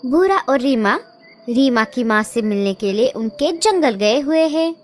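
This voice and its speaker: A woman's voice: fairly high pitch, expressive, measured pace, a little hiss or hum. A woman voicing a helpful female bulbul bird who explains the news matter-of-factly in a cartoon.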